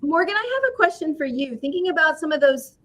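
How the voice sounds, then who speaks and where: A woman's voice: high voice, expressive, fast talker, a bit bright. A woman co-hosting a literacy talk show, who asks eager, curious follow-up questions. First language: English. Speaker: co-host. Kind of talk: panel discussion.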